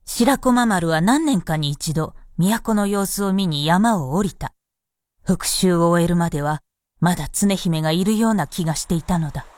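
A woman's voice: fairly low pitch, normal speed, dry recording, studio quality. A woman narrating a radio drama as a scripted storyteller. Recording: loud music behind narration.

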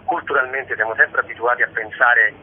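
A man's voice: medium pitch, steady tone, a little fast, husky. A man giving a conversational radio interview as a storyteller, talking discursively and expressively, with rhetorical asides. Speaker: guest, stage actor and storyteller. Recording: thin phone-line radio sound.

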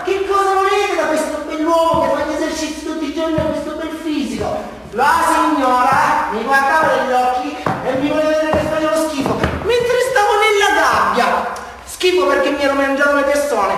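Crazy boastful southern italian prisoner, theatrical rambling confession, high voice, super echoey stage recording. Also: male voice, fairly bright tone. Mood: defiant, boastful. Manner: rambling, volatile, repeats himself. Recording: echoey hall, distant mic, faint noise.

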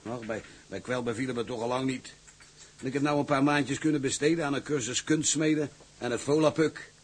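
An actor playing a hearty, chatty, blunt old ship's engineer in a Dutch radio drama. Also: male voice, medium pitch, a little fast, husky, natural voice.

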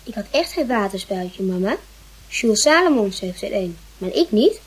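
A woman's voice: mid-range voice, very animated, moderate pace, breathy edge. A woman playing an anxious, inquisitive small boy in a radio play, stammering and pleading.

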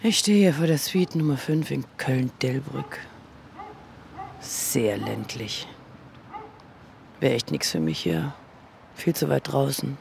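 Gravelly man narrating, mid pitch. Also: expressive, takes it slowly, pauses often, a little mellow, a little hiss or hum. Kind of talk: first-person radio narration.

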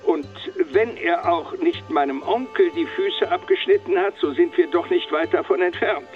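An actor playing a stubborn, articulate and formal French police commandant in a German radio crime drama. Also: male voice, sits high, big pitch swings, normal speed. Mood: indignant, defensive. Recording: dull, band-limited phone-call sound, faint music.

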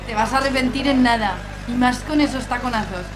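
A woman's voice: fairly high pitch, a little fast, music in the background, natural voice, poor audio. A woman playing a blunt, teasing, bossy market woman in a radio play, with an exclamatory, chiding delivery.

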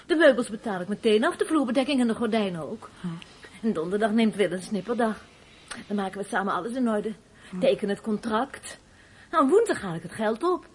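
A woman playing a sharp, scornful young elder sister in a radio play, with a snappy, brisk delivery. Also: mid-range voice, expressive, slightly rough. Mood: brisk, practical. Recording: noisy old radio play recording.

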